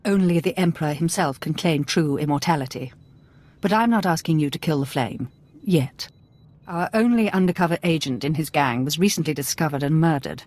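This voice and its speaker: A woman's voice: low voice, fairly quick, darkish tone. An actress playing a composed female imperial intelligence officer in a video game, giving briefings in a grave, clipped manner. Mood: stern. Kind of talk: video game character acting.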